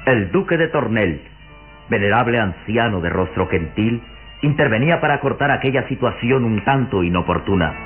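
A man's voice: fairly low pitch, expressive, normal speed, close to an everyday voice. A man narrating a gothic radio serial in an ornate, solemn, suspenseful vintage style. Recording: phone-like vintage radio recording, music behind.

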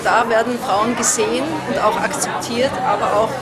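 A woman gives an on-camera interview in a fairly high voice. She speaks inside an event venue, with loud music and a noisy crowd behind her. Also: level tone, moderate pace. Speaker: event technician. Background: loud music, crowd chatter.

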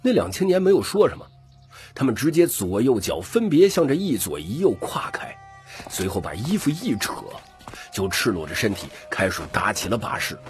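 A man's voice: medium pitch, big pitch swings, normal speed, faint music behind. A man narrating scripted fantasy fiction dramatically as an audiobook reader.

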